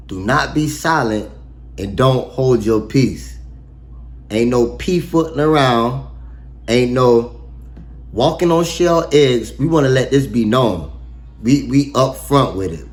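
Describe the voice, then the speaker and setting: A man reading scripture verse by verse and explaining it in casual, slangy, emphatic talk with rhetorical questions. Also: mid-range voice. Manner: asks rhetorical questions and pauses for effect. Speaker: online bible teacher. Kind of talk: casual verse-by-verse bible breakdown.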